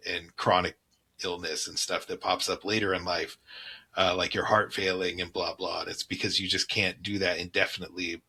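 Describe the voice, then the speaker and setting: A man's voice: low-pitched, sharp tone, slightly rough. A man co-hosting a casual comedy podcast, explaining things in a loose, rambling way with frequent laughs. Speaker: podcast co-host. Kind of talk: casual chat. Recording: mild background keyboard clacking.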